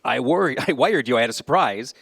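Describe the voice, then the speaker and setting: Animated, boyish younger brother. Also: male voice, mid-range voice, fast talker, vocal fry, dry recording. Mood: cheerful. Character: cheerful, good-natured younger brother home from college. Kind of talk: live radio play acting.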